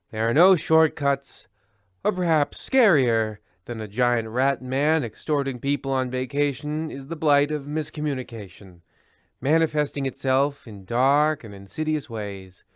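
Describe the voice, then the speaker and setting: A man's voice: medium pitch, expressive, measured pace, smooth, no echo, studio quality, lightly acted. A man playing a pompous, portentous narrator host of a parody radio mystery anthology.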